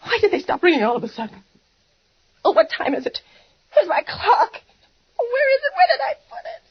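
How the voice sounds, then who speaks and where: An actress playing an imperious, neurotic bedridden wife who is shrill, haughty and overwrought, in the melodramatic style of a 1940s radio thriller. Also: female voice, wildly swooping pitch, a little fast, highs cut off, heavily acted. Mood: fearful. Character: imperious, panicking, neurotic bedridden wife, high-strung and demanding. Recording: noisy, band-limited 1940s radio recording.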